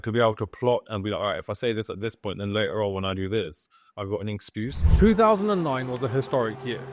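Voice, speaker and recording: A man's voice: fairly high pitch, dry recording, studio quality. A man hosting a podcast, reading a scripted intro and asking casual questions full of "like" fillers. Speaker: podcast host.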